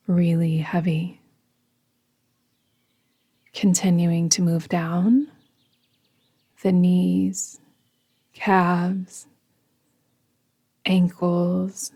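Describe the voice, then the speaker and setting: A woman guiding a yoga nidra meditation in a soothing, gentle, deliberate manner, often trailing off into a whisper. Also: on the low side, breathy edge. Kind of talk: guided meditation narration.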